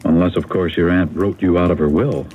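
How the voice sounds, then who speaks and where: Actor as a low-voiced courteous husband, tinny radio. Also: male voice, steady tone, fairly quick, lightly acted. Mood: puzzled, polite. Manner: polite, tactful. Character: courteous, practical, mildly teasing husband. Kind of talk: radio drama acting. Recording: tinny, noisy old radio broadcast.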